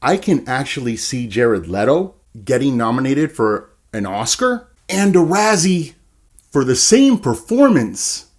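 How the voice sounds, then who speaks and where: A man giving a casual, ranting film review in an animated, sarcastic, chatty way. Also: mid-range voice, a little slow. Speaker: movie reviewer.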